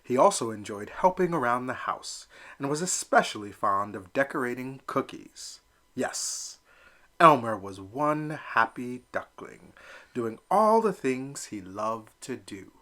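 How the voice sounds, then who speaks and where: A man reading a children's picture book aloud, expressive and animated. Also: mid-range voice. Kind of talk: children's read-aloud narration.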